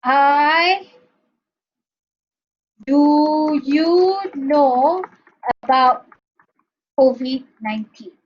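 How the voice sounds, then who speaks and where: A Malaysian woman teaching a grammar lesson in a high voice, taking her time over each point. Her recording is muffled and a little lo-fi. She keeps pausing to check that the class is following. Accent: Malaysian English. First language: not English. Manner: explains step by step, often checks with "Okay?". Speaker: university English lecturer.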